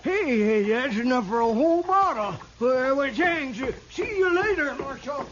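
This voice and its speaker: A shaky old-West town drunk. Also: male voice, very high-pitched, animated, unhurried. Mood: eager, grateful. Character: shivering, craving town drunk, frontier drifter. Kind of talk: 1950s radio drama acting. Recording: scratchy, muffled 1950s radio recording.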